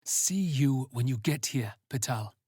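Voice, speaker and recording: A man's voice: medium pitch, expressive, a little slow, dark tone, husky, dry recording. Watchful male operative, voiced by a narrator, direct, understated, audiobook hero, dry studio-like sound. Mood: wary. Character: terse, watchful male agent in a sci-fi story.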